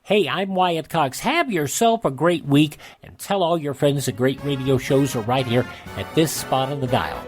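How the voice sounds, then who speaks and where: A man's voice: fairly high pitch, moderate pace, hardly any pauses, soft background music. Casting: male radio host, casual and folksy, chatting straight to listeners.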